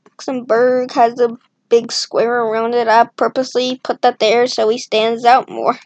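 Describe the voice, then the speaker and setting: A woman's voice: fairly high pitch, little pitch movement, normal speed, a little mellow, no echo, muffled recording. A woman talking to herself in casual, rambling commentary as she draws a map on screen, with jokey asides. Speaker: map-making video creator. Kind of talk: casual commentary while drawing.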